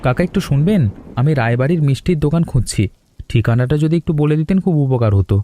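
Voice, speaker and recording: Polite mellow-voiced male traveller acted by a man. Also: mid-range voice, lively intonation, quick pace, slight background noise, natural voice. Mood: polite, weary. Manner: courteous, patient. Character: polite, patient male traveller stranded in rain. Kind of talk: cartoon story voice acting.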